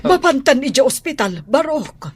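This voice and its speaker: A woman's voice: sits high, sing-song, unhurried. A woman playing an anxious, deferential household maid in an Ilocano radio drama, with noise behind her. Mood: alarmed, urgent.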